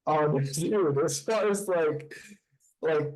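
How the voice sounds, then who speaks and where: A man co-hosting a podcast over a video call from home, talking bluntly and profanely and cracking deadpan jokes. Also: a little high, slow pace, low-quality recording. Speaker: podcast co-host. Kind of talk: casual chat.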